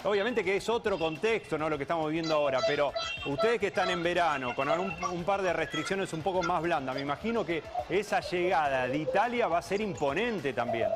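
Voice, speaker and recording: A man's voice: fairly high pitch, lively intonation, brisk, loud music behind the voice. A male news anchor asking long, rambling questions full of tag questions on live TV.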